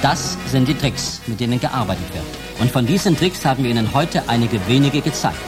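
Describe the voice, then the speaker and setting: An actor playing an earnest, formal TV football commentator in a radio drama. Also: male voice, mid-range voice, animated, fairly quick, over music, close to an everyday voice. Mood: polished, earnest. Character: polished, earnest male TV football commentator presenting staged matches.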